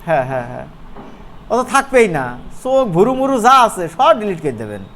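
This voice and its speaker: A man with a Bangladeshi accent giving an informal, playful software tutorial as an instructor, often checking in with his listeners. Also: high voice, huge pitch swings, fairly quick. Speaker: animation instructor.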